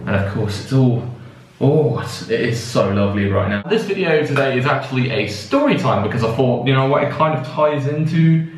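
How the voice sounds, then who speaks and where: A man's voice: medium pitch, expressive, moderate pace. A young British man telling a casual story to viewers in a chatty, rambling way that often interrupts itself. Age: young adult. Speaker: vlogger. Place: indoors in a bathtub in a bathroom. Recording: echoey bathroom with music behind.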